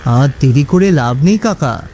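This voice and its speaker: A man's voice: mid-range voice, lively intonation, unhurried, mellow, slight background noise. A man playing an impatient ferry passenger in a ghost story cartoon, polite but urging.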